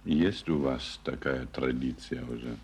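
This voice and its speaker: Мужчина, иностранный корреспондент, для которого немецкий родной, задаёт вопросы на ломаном русском, с запинками. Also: male voice, very deep voice, a little mellow, slight background noise. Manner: hesitant, halting non-native Russian. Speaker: foreign correspondent. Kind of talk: interview questions.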